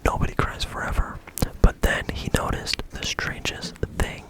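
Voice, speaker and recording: A storyteller reading a bedtime story in a soft whisper and adding dry asides. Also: moderate pace.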